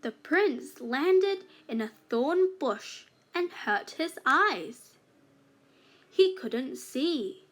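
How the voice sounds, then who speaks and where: Slow, high-pitched woman reading a fairytale. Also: expressive. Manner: slow, deliberate phrasing for young learners. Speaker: children's storybook narrator. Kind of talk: scripted read-aloud fairytale narration.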